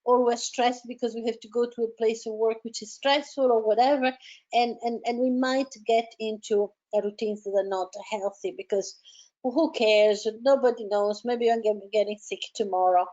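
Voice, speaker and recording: Woman, hesitant with many restarts, coaching talk, slightly high pitch, dull lo-fi audio. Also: steady tone, moderate pace, a little mellow. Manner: hesitant, many repeated words and fillers. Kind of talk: advisory talk on coping with stress.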